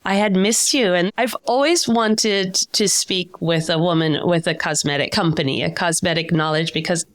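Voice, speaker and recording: A woman's voice: on the low side, moderate pace, hardly any pauses, no room sound. A woman hosting a podcast, upbeat and mixing in Spanish words as she introduces her guest and asks questions. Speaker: podcast host. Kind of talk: scripted intro then interview.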